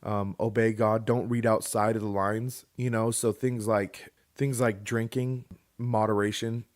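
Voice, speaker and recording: A man's voice: on the low side, level tone, unhurried. Young male co-host, casual chat, rambling, restarts often. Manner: rambling, many restarts and fillers. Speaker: podcast co-host.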